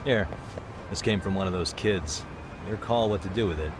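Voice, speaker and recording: An actor playing a calm, modest but tough male detective in a video game cutscene. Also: low voice, normal speed, background noise, lightly acted. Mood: calm, understated.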